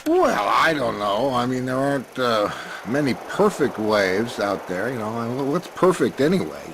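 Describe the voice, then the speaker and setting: A man's voice: mid-range voice, big pitch swings, normal speed. A man playing a laid-back penguin surfing veteran in a video game interview, talking in a rambling, hesitant surfer drawl. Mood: musing. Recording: loud background noise.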